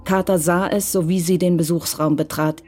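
A woman narrating a radio drama in a measured, even way. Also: fairly low pitch. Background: music.

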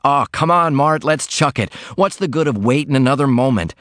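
A man reading aloud and voicing a boozy, excitable male laundry worker with a loud, rough drawl. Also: fairly high pitch, normal speed, dry recording, clean studio sound, voice pushed up. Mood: excited.

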